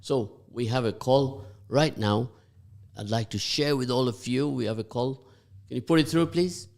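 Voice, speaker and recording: A man hosting a community radio breakfast show, chatting in a rambling, halting way with many fillers. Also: medium pitch, vocal fry, darkish tone. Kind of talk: casual radio chat.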